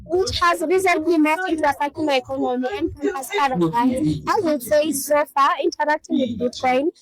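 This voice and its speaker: A woman educator speaking confidently and clearly in a documentary interview. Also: high-pitched, fairly quick, a crowd in the background, rough recording. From Kenya.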